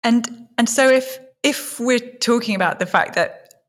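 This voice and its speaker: A woman's voice: fairly high pitch, a little slow. A woman hosting a conversational literary podcast interview, phrasing her questions in a tentative, reflective way.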